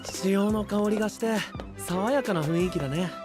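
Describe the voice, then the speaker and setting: A man voicing a sensible, gentle young traveler, cautious and mildly exasperated, in an animated audio drama. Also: sits high, moderate pace, music in the background, lightly acted. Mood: pleasant. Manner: soft-hearted, cautious, questioning. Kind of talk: animated Japanese voice drama acting.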